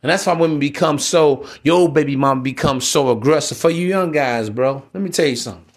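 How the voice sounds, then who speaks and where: A man's voice: a little high, a little fast. A man with an American accent giving an informal, blunt monologue to listeners, slangy and preachy, repeating himself for emphasis. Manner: emphatic repetition. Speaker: podcast host.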